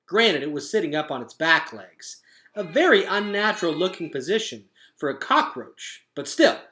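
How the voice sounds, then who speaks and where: A man reading a children's book aloud as a teacher would, with dramatic, expressive narration. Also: on the high side, normal speed.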